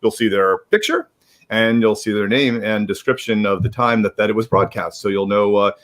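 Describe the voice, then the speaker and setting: A man's voice: fairly low pitch, fairly even intonation, normal speed, a bit bright. A man hosting a live-streamed author reading, giving announcements in a rambling way with many restarts. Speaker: host of an online author readalong.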